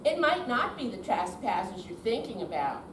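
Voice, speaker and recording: A woman's voice: medium pitch, very animated, moderate pace, natural voice. A woman playing a glib, reassuring con artist posing as a bank caller in an amateur stage reading. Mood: soothing, confiding. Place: indoor senior center performance room. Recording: distant echoey hall, faint noise.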